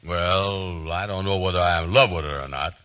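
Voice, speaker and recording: A man acting a slow-witted, boastful, lovestruck fellow with folksy, ungrammatical speech in 1940s radio comedy. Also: deep voice, bright, forceful tone, clean tone. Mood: lovestruck, puzzled. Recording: 1940s radio broadcast recording.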